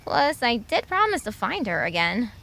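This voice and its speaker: Actress as spirited young woman, high bright voice, animated, earnest, audio drama. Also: moderate pace, vocal fry, full character voice. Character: spirited, excitable young sister on a quest.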